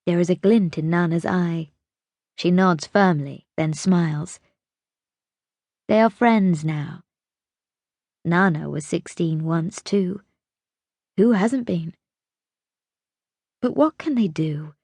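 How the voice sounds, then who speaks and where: A woman reading an audiobook as narrator, telling the story expressively in a measured, dramatic way. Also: lowish voice, a little mellow, dry recording.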